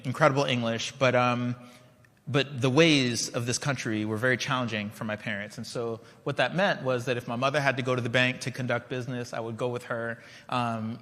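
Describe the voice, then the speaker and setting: A man with a bright, forceful voice delivers a keynote from a stage. His talk is conversational and story-driven, with frequent asides to the crowd. The sound carries a long reverb from a large hall. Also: mid-range voice. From Liberia. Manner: conversational, storytelling, checks in with the audience. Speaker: keynote speaker. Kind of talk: conference keynote speech.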